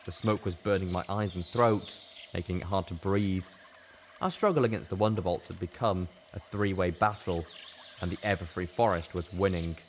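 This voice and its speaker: A man's voice: low voice, fairly even intonation, moderate pace, slight background noise. A man reading a first-person story in a dramatic audiobook narration style. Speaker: story reader.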